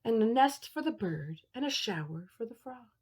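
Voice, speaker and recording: A woman in her thirties reading a picture book aloud as a teacher, expressively and with drawn-out key words for children. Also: fairly high pitch, expressive, a little mellow, slightly rough.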